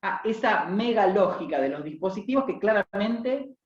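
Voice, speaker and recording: A man's voice: high-pitched, expressive, moderate pace. A man in the audience making a reflective comment at an academic discussion, checking in with rhetorical questions. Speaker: audience participant. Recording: lo-fi, roomy echo.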